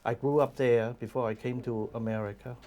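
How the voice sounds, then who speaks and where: Older guy from hong kong talking slow and careful on a tv talk show, lots of uhs. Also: mid-range voice, fairly even intonation, warm tone. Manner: measured, explanatory, many fillers. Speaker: guest commentator. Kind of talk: casual interview talk. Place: TV studio.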